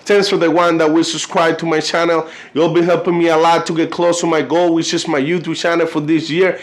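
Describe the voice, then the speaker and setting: Man, Colombian Spanish accent, native Spanish speaker, fairly high voice, casual vlog chat, rambling, heavy fillers, halting when reading. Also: little pitch movement. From Cartagena, Colombia. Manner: loose, rambling, constant "you know" and "like" fillers. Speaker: vlogger.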